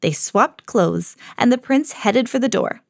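A mother hosting her own podcast, reading a children's storybook aloud with lively jumps for the quoted lines. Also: on the high side, a little slow, gravelly, dry recording, clean studio sound. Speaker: mom reading to her kids, podcast host.

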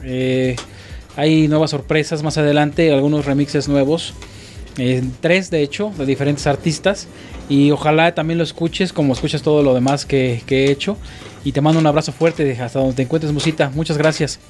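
A man, a musician guest, chatting casually on a radio show, halting with many fillers. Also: on the high side, faint music behind. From Mexico. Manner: relaxed, halting with frequent fillers.

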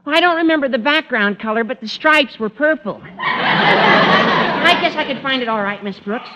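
An actor playing an eager, cheeky teenage schoolboy with a high, cracking voice and flowery speech, in radio sitcom style. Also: male voice, lively intonation, normal speed. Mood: chatty. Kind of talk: 1950s radio sitcom acting. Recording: dull noisy old radio recording, audience.

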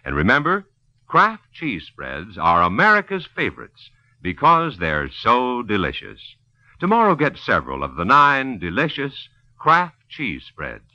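A man's voice: medium pitch, huge pitch swings, unhurried, sharp tone, dry recording. A male announcer delivering old-time radio advertising and narration with folksy, emphatic salesmanship. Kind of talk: 1940s-50s radio ad and narration. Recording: muffled old dry radio recording.

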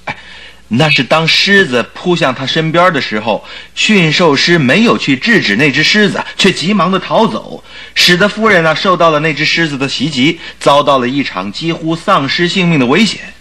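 An actor playing a stubborn, skeptical doctor who is a detective's companion, arguing theatrically and insistently in a Chinese radio drama. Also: male voice, fairly high pitch, lively intonation, moderate pace, sharp tone. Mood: stubborn, protesting.

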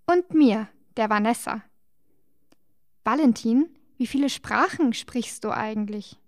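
Woman, children's co-host, cheerful explainer, slightly high pitch, dry sound. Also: expressive, unhurried, no room sound. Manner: bright, explaining, gently teasing. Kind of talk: scripted children's educational dialogue.

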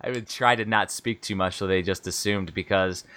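A lowish American man in his thirties who chats in a loose, rambling way and laughs often. His voice has a forceful, bright edge. He sounds like a relaxed podcast host. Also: moderate pace, smooth. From United States. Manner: laid-back, rambling, laughs often, many fillers. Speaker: podcast host and book publisher. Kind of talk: casual interview chat.

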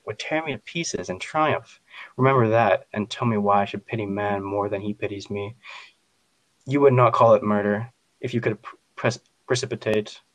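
Man putting on a deep, miserable, menacing monster. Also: dry recording, natural voice, poor audio. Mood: aggrieved. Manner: formal, menacing, aggrieved. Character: miserable, spiteful monster confronting his creator.

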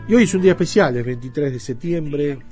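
A man's voice: fairly high pitch, expressive, fairly quick, darkish tone. A man with a Uruguayan accent hosting a radio show in a conversational, loosely phrased way, as in an introduction. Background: background music.

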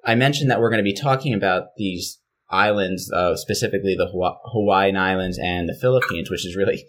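A man hosting an interview podcast, introducing his guest in a measured, formal way. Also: low-pitched, a bit bright. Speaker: podcast host.

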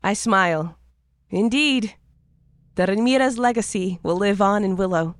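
A woman playing a tender-hearted young apprentice who narrates a fantasy audio drama in a gentle, measured, earnest way. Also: mid-range voice, dry recording, close to an everyday voice. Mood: warmly earnest.

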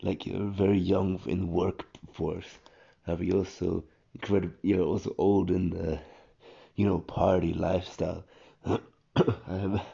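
Young guy rambling to nobody, low flat gravelly voice going hoarse, kinda muffled lo-fi audio. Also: measured pace. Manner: rambling, slangy, many fillers and restarts. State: losing his voice, hoarse. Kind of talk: casual rambling monologue. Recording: dull lo-fi audio.

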